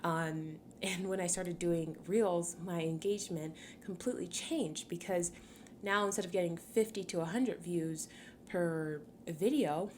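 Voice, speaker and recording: A woman in her twenties, from Cameroon, hosting a solo podcast in a chatty, upbeat way, laughing often and filling pauses with ums. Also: fairly low pitch, slight background noise. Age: 20s. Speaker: podcast host.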